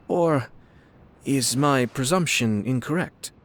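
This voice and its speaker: A man's voice: medium pitch, takes it slowly. A man playing a young noble clan lord in a video game, speaking with formal, eloquent courtesy and a wry edge. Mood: composed, courteous.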